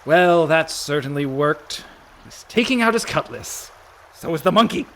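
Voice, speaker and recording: A man acting as a fretful younger brother and reluctant swindler in a radio comedy. The voice is high and very lively, full of sarcasm and worry. There is a faint noise behind it. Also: a little slow. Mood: sarcastic, grumbling. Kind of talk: comic radio play acting.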